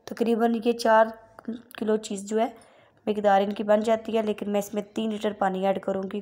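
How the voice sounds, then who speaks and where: A woman gives a recipe voice-over at a middling pitch. Her tone is mellow and soft-edged. She walks through each cooking step in a polite, steady, instructional way. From Pakistan. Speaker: cooking channel host.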